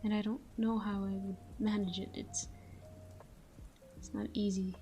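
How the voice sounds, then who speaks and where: Woman playing a hushed, tender vampire lover, softly bright. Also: mid-range voice, level tone, soft background music, close to an everyday voice. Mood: melancholy, tender. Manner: hushed, slow, murmuring, slipping into whispers. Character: tender, melancholy female vampire lover, wistful and devoted. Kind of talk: intimate ASMR-style audio roleplay monologue.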